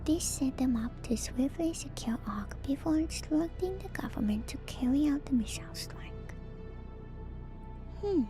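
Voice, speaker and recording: A woman streamer doing a whispered ASMR reading with casual commentary, musing in a hushed, drawn-out way. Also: sits high, lively intonation. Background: background music.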